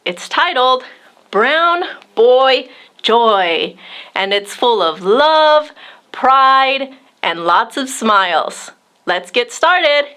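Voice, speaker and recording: Bright, high-voiced woman reading to children. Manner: expressive, measured delivery addressed to children. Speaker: children's storytime host. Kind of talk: picture book read-aloud for young children.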